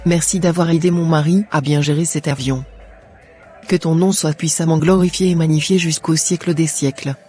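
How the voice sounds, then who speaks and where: Actor playing a devout wife, softly dark-toned voice. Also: female voice, deep voice, steady tone, normal speed, breathy edge, faint music behind. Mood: grateful, devout. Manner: pious, formal phrasing. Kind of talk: scripted cartoon dialogue.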